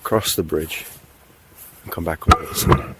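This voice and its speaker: A man's voice: rumbling low, normal speed, fairly bright tone, slightly rough, rough recording. A man with a blocked nose talking casually to camera as a motorcycle travel vlogger, tired and laid-back with lots of fillers. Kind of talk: casual vlog talk to camera.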